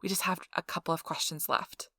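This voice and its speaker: A woman playing a friendly, chatty intake interviewer in a soft ASMR role-play, explaining things politely and informally. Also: lowish voice, fairly even intonation, brisk, no echo, clean studio sound. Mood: calm, businesslike. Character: friendly, chatty female intake interviewer at a vampire blood donation center.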